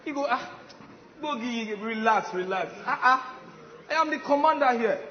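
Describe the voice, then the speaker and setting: A man's voice: very high-pitched, lively intonation, a little slow. A man playing a boastful, self-important warrior commander in a comic stage play, declaiming pompously. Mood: reassuring, commanding. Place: stage in a large hall with audience. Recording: echoey hall, muffled, noisy audience.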